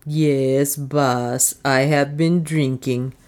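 A woman's voice: rumbling low, fairly even intonation, drawn-out words, a little mellow, a little hiss or hum, full character voice. A woman voicing a humble, fatalistic, hard-drinking male dwarf in an audiobook, with formal phrasing.

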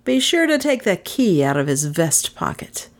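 A woman reader voicing a fierce male detective who jeers and presses hard, in a fairly low voice. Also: very animated, moderate pace. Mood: hostile. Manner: jeering, forceful.

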